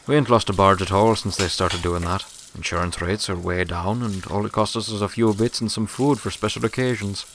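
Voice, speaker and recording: A man's voice: lowish voice, fairly even intonation, brisk, few pauses, noisy background, natural voice. A man voicing an elderly, plainspoken pony servant with a deferential, folksy manner, in a story reading. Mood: folksy, matter-of-fact. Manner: folksy rustic phrasing, says sir deferentially.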